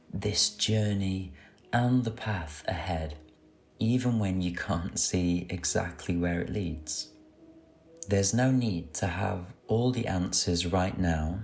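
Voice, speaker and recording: A man leading a guided meditation with gentle, soothing, unhurried instruction. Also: low-pitched, creaky. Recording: soft music under the voice. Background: music.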